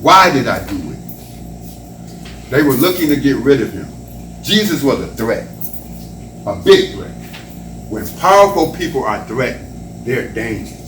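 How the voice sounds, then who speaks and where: A guilt-ridden betrayer acted by a man delivers a theatrical church play monologue. His pitch swings widely, and he speaks slowly with long, halting pauses. The sound is roomy and echoing, picked up from well away from the microphone. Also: sing-song, fairly bright tone. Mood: anguished, brooding. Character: Judas, a guilt-ridden betrayer explaining himself. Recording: roomy echo, distant mic, faint noise.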